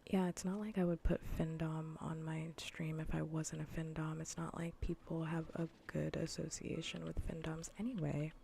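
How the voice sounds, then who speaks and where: A woman streamer chatting softly in ASMR style close to the microphone, often in a breathy whisper, with a dry, unhurried, matter-of-fact way of talking. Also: deep voice, little pitch movement, a little hiss or hum. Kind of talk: soft-spoken ASMR gaming livestream chat.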